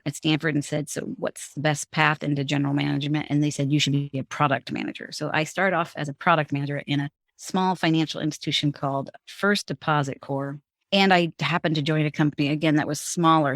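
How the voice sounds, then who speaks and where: A woman's voice: sits low, fairly bright tone, no echo, studio quality. A middle-aged woman, a guest on an interview show, recounting her career in a conversational way with frequent restarts. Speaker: guest, former bank executive. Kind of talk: storytelling, interview answers.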